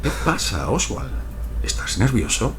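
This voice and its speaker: A man's voice: mid-range voice, sing-song, unhurried, noisy background. A man playing a taunting, coldly intimidating FBI interrogator in an audio drama. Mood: menacing.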